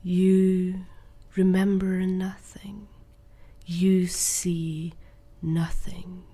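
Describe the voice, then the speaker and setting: A woman's voice: fairly low pitch, flat delivery, warm tone. A woman whispering as a brooding, nervous woman. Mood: ominous. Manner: slow unvoiced whisper. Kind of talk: amateur radio drama.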